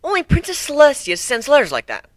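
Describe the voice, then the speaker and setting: A man's voice: very high voice, huge pitch swings, quick pace, no echo, pitched above the performer's own voice, heavily acted. A man voicing a bookish, earnest young female unicorn who sounds flustered and indignant, in a cartoon fan story reading. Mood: indignant, nervous.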